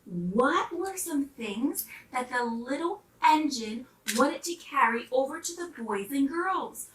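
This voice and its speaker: A woman reads aloud and talks to young children with lots of expression. Her voice is high and swings widely in pitch. The recording is roomy and slightly distant. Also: slow, slight background noise. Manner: animated, addressing young children. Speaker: storybook reader.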